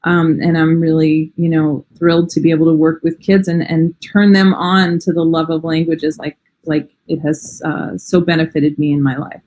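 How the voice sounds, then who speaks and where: An American woman in her forties talks with easy enthusiasm, her voice sitting a little low for a woman. She speaks as a guest on a video call, dotting her speech with fillers. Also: flat delivery, normal speed, few pauses, muffled recording, slight background noise. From United States. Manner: warm, enthusiastic, frequent "um" and "you know". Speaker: language teacher, interview guest. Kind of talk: casual interview chat.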